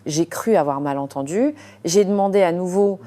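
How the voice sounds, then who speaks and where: A woman narrating a first-person story as a surgeon, in a measured, confiding way with frequent hesitant fillers. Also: fairly low pitch, dark tone.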